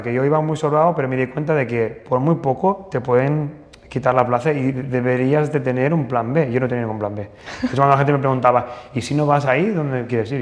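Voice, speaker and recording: A man from northern Portugal, a plastic surgeon telling anecdotes in an interview, hesitant with many restarts and fillers. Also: medium pitch. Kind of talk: casual interview storytelling. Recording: long hall reverb.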